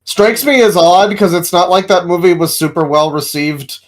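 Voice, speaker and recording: A man with a slightly high voice chats casually as a podcast co-host. He is blunt and dismissive, swearing freely as he gives his criticism. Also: moderate pace. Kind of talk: casual chat, film criticism.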